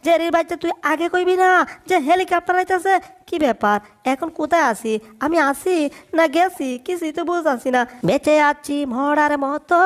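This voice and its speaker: Cartoon dub role: a boastful, excitable tomcat, very high-pitched, grumbling and exclaiming. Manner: exclamatory, self-narrating, boastful. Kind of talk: Bengali comedy cartoon dub.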